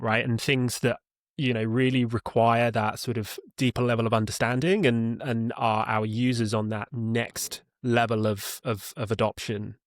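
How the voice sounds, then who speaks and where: A man with a lower-middle pitched voice speaks as an interview guest. He explains things in a conversational, halting way, doubling back on words as he goes. The sound is close and dry. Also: dry recording. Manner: halting, with repeated words and self-corrections. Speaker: podcast guest, customer support leader.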